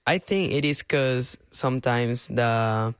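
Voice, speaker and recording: A man's voice: mid-range voice, takes it slowly, clean tone, no echo, clean studio sound. An eighteen-year-old man from Peru with a Peruvian Spanish accent, giving earnest, casual interview answers in simple second-language English. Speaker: interview guest, clarinet student.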